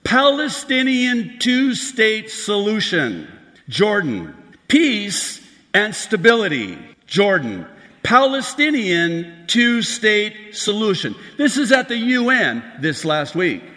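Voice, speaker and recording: A pastor preaching in a large hall, his bright voice ringing with long reverb. He speaks slowly and emphatically, his pitch swinging widely as he builds to raised outbursts. The man sounds slightly higher than most men. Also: wildly swooping pitch. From Lebanon. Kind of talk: preaching a prophecy sermon.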